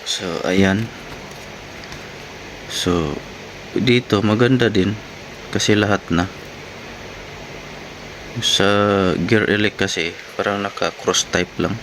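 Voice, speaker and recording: Low-voiced man over noisy background. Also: slow pace, pauses often. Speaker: vlogger reviewing gear. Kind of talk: casual unboxing and product review, talking to viewers. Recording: clearly noisy, steady background hum.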